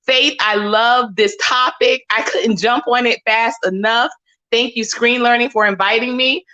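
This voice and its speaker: A hoarse woman from Miami who joins a panel in effusive, casual talk, laughing between phrases. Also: a little high, fairly even intonation, measured pace, bright, forceful tone, lo-fi sound.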